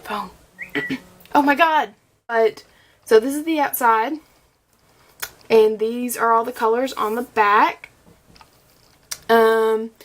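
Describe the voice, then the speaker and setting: A young woman vlogger with a slight cold, chatting and gushing through a casual makeup review, with plenty of ums and likes. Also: a little high. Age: young adult. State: has a cold, a bit scratchy. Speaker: beauty vlogger.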